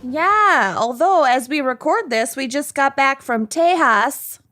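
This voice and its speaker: A woman's voice: high-pitched, expressive, slow pace, bright, forceful tone, soft background music. A woman co-hosting a casual podcast, reading out listener notes and trading playful banter with laughs. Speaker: podcast co-host. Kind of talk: casual chat.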